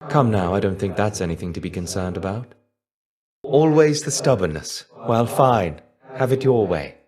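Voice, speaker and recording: A man playing a weary, melancholy shade of a fallen ancient Greek warrior in a video game, measured, wistful and dryly understated. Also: on the low side, expressive, over music, lightly acted. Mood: gentle, calm. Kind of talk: video game character voice lines.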